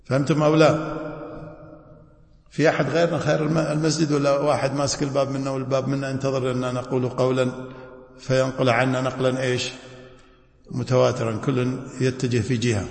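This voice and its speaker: A man's voice: mid-range voice, little pitch movement, quick pace, vocal fry. A man teaching jurisprudence in a mosque, often stopping to quiz his listeners with prompting questions. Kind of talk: classroom lecture. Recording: echoey mosque hall.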